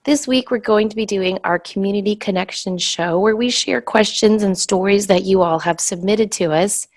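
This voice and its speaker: A woman hosting a spiritual talk podcast, hoarse and scratchy from a cold, explaining things in a reflective, gentle way. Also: medium pitch, moderate pace. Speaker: podcast host.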